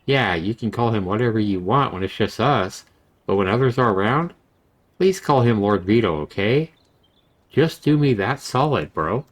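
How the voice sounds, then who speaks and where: A man's voice: lowish voice, lively intonation, moderate pace. A man voicing a cocky, boastful young best friend in a visual novel, with slangy bro talk. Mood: pleading.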